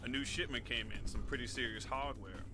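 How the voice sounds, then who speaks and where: Actor as a streetwise gang guard under music. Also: male voice, medium pitch, brisk, natural voice. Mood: tense, wary. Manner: slangy, warning. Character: wary tough male gang guard, streetwise. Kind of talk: video game dialogue.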